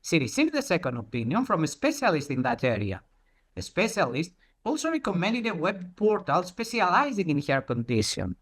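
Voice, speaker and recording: A presenter with a very animated, mid-pitched voice delivers a prepared talk. The phrasing is deliberate and storylike, with key points repeated for emphasis and plenty of rise and fall.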